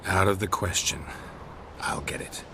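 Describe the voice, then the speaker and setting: A gruff, terse monster hunter. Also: male voice, low-pitched, measured pace, background noise. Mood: curt. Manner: terse and dry. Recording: game audio with loud music.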